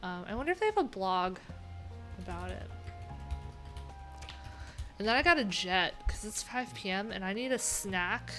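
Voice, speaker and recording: A woman's voice: mid-range voice, expressive, normal speed, pauses often, fairly bright tone, soft background music. A woman live streaming, chatting informally and thinking aloud in a rambling, unfiltered way. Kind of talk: casual live tech stream commentary.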